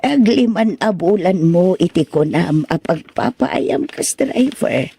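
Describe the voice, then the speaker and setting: A woman playing a gentle, sorrowful old mother who speaks tenderly and pleadingly in an audio drama. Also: lowish voice, very animated, slow, creaky, over music, exaggerated voice. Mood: tender, concerned. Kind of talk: amateur audio drama acting.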